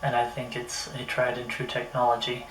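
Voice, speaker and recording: A man giving a casual hands-on product review, thinking aloud in a somewhat halting way. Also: mid-range voice, completely flat delivery, fairly bright tone. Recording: roomy echo, distant mic, faint noise.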